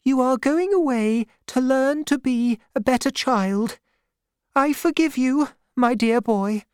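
Woman playing a sad, high-voiced gentle mother. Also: slow, clean tone, no echo, clean studio sound. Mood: sorrowful farewell. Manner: sad, wounded. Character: sorrowful, gentle, reproachful mother.

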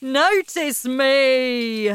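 A woman reading a children's story, voicing a loud, boastful, self-important father who talks slowly and grandly. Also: clean tone, exaggerated voice. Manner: pompous, slow and booming.

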